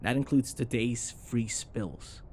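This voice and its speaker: A man's voice: medium pitch, takes it slowly, warm tone, close to an everyday voice. A man acting a grumpy, sarcastic office rival who grudgingly cares, in an intimate, blunt, conversational roleplay style. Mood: teasing, exasperated. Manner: exasperated, blunt, conversational, sighing.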